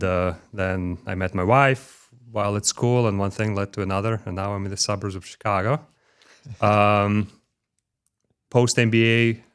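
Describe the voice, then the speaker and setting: A middle-aged man from Ukraine with a Ukrainian accent, speaking as an interview guest in a measured way with frequent pauses and fillers, recorded in a corporate headquarters office. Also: low-pitched, clear, resonant tone. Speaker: guest, corporate development executive. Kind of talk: conversational interview.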